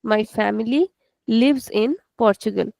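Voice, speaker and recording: A woman's voice: on the high side, measured pace, creaky, a little mellow, no room sound. A woman with an Indian English accent teaching an online language class, explaining patiently and repeating each point step by step.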